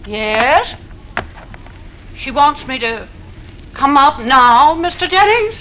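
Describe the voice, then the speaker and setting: A woman's voice: high-pitched, sing-song, slow pace, heavily acted. An actress playing a stubborn, uneasy widowed landlady, folksy and forthright, in an old-time radio suspense play. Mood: calling out. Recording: muffled, noisy vintage radio recording.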